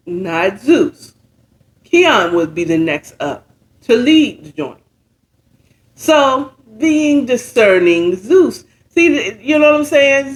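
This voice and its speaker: A man's voice: very high voice, low-quality recording. An American man retelling a story in a conversational, slangy way, checking in with the listener and slipping into characters' dialogue. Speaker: host retelling and reacting to a story. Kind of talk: informal storytelling recap with commentary.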